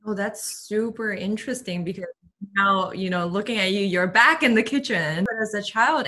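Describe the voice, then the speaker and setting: Curious woman host, lower voice. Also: fairly bright tone. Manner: curious, frequent "like" and repeated words. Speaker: podcast host, interviewer. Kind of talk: casual interview questions.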